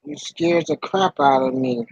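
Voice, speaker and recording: Husky, creaky high-pitched man. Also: animated, unhurried, no room sound, lo-fi sound. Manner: short interjections and agreeing comments.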